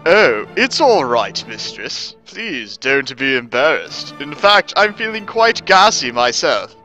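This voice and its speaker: A man's voice: medium pitch, sing-song, slow, bright, forceful tone, faint music behind, full character voice. A man voicing a polite young servant girl who speaks deferentially to her mistress in a dramatic story reading. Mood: reassuring. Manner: deferential, exclaiming.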